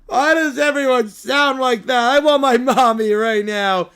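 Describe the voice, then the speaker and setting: A man voicing a whiny, frightened small child in a slow, plaintive cartoon parody voice. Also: very high voice, fairly bright tone, smooth, heavily acted. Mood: whiny, upset.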